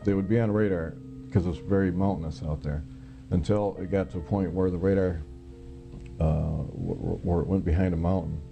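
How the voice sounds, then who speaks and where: An American man recounting his own experience in an interview, halting, plain-spoken and matter-of-fact, as a former air traffic controller. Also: low voice, steady tone, creaky, over music.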